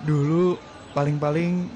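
A man's voice: on the high side, takes it slowly, dark tone, slight background noise. An actor playing a reflective young man talking casually with his friend about his younger brother in a radio drama. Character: thoughtful young man Haji, Damar's older brother.

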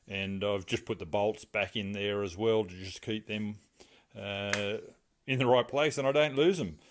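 A middle-aged man, explaining a brake repair step by step in a casual, practical way, with plenty of fillers. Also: fairly low pitch. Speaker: home mechanic restoring a car. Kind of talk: casual how-to narration. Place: home garage workshop.

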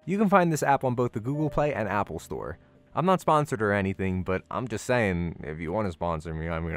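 Animated man narrating a review over music. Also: fairly low pitch. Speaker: video host and reviewer. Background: background music.

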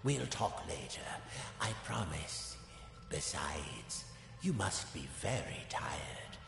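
An actor playing a menacing, courteous middle-aged villain in a video game, gravelly, slow and deliberate, silkily polite and condescending. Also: male voice, rumbling low, very animated, a bit bright, full character voice. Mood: smooth, condescending. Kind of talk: video game character acting. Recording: echoey hall reverb, faint music behind.

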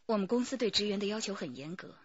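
An actress playing a poised, guarded company manager in a radio drama, with a breathy voice and careful delivery. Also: female voice, medium pitch, expressive, quick pace, dry recording, lo-fi sound. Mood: firm.

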